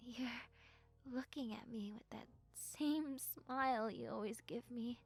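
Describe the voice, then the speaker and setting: A woman playing a shy, sweet young goth best friend in an intimate audio roleplay, soft-spoken and breathy, with hesitant, stammering speech. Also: on the high side, a little slow, faint music behind. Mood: nervous, bashful.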